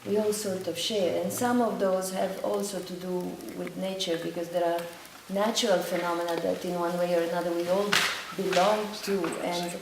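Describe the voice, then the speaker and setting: A woman on a panel reflects aloud and restarts her sentences as she searches for words. Her voice is fairly low. The mic is some distance away, and the room echoes with clear background noise. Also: fairly even intonation, moderate pace. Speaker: panel speaker. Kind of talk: reflective talk in a discussion.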